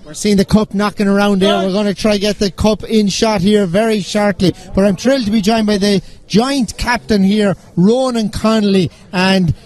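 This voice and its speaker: A man with an Irish accent interviewing players pitchside after a match, holding a handheld mic outdoors in a stadium. He talks in an upbeat, gushing way. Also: steady tone, moderate pace, hardly any pauses, a little mellow. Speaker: sideline interviewer.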